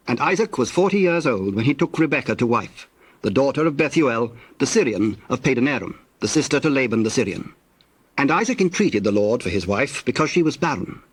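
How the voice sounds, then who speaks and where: A man narrating scripture from a script in a measured, formal storytelling manner. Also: medium pitch, dry recording.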